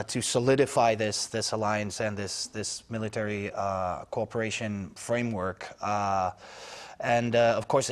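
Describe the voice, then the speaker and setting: A man speaking as a foreign news correspondent on a news panel, analytical and halting, with many fillers and restarts. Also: medium pitch. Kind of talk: unscripted news panel discussion.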